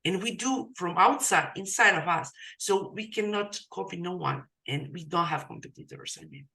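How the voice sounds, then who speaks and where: A woman speaking English as a second language, a coach talking in a casual podcast conversation, halting with many restarts. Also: fairly low pitch, animated, gravelly, sharp tone. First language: non-English.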